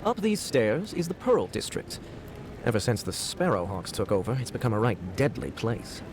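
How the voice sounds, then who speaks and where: A man playing a gruff, wary local guard in a video game, giving blunt, colloquial cautions. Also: medium pitch, very animated, normal speed, background noise, natural voice. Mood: wary warning. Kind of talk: video game character dialogue. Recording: game audio with music behind.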